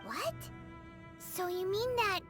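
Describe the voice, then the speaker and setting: A woman voicing a tiny childlike fairy companion in a video game, squeaky, blunt and given to exclaiming. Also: animated, slow pace, heavily acted. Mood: wary, puzzled. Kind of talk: animated video game voice acting. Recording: loud music behind the voice. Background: game music.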